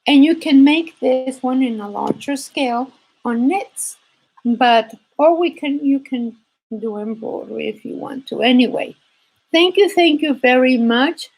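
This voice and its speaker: A woman with a fairly high voice and a Spanish accent chats casually as a craft livestream host. She takes her time, speaking very slowly and haltingly, rambling a little and often repeating her phrases. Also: gravelly, a little mellow, rough recording.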